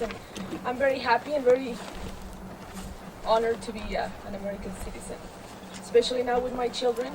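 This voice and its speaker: A woman from Peru giving a fluent, earnest, rambling speech as a new citizen. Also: a little high. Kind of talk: short public speech. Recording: background noise.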